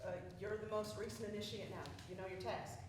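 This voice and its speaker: A woman acting a stern, devout stage figure with a mid-pitched voice. She speaks firmly from far off in an echoey, noisy recording. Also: steady tone, fast talker, close to an everyday voice. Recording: echoey theatre from afar, clear noise.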